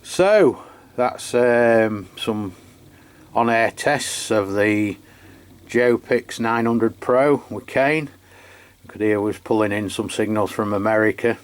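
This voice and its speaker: An English man hosting a radio demo in casual unscripted chat, halting and rambling as he corrects himself. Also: fairly low pitch, smooth. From England. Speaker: amateur radio channel host. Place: beside his radio set, filming the equipment.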